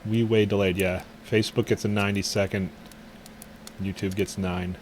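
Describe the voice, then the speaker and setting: A man's voice: lowish voice, flat delivery, moderate pace, slight background noise. A man in his thirties co-hosting a casual live stream, easygoing and tripping over words as he works the streaming setup. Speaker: co-host and stream tech operator.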